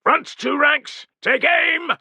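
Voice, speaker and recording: A hard-bitten Roman officer bellows orders at his ranks, as a male narrator puts him on. The voice rides high and strained in a sustained shout, crisp and forceful. It sits dry and close, with no room around it. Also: takes it slowly, dry recording, heavily acted. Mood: barking orders. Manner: shouted drill commands.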